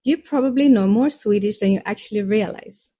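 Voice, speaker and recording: A woman reading scripted narration for a language lesson in a calm, reassuring way, explaining step by step. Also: mid-range voice, brisk, very noisy. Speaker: course narrator.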